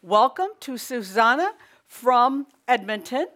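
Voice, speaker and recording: A woman's voice: on the high side, big pitch swings, slow, a bit bright. A woman co-hosting a live studio show in casual chat, teasing and playful. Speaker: co-host.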